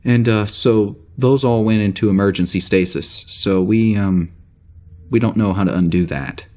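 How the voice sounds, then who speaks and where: A man's voice: lowish voice, normal speed, warm tone, natural voice. A man playing a weary, wry spaceship security officer recording a log in an audio drama, rambling casually and hesitantly. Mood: hesitant, subdued. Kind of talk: audio drama spoken log entry, naturalistic.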